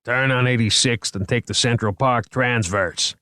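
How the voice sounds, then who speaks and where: A man's voice: mid-range voice, normal speed, fairly bright tone, no echo, studio quality, pitched above the performer's own voice, natural voice. A man voicing a brash, impatient male police lieutenant who talks bluntly, in an audiobook. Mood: impatient, exasperated. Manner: blunt, exasperated.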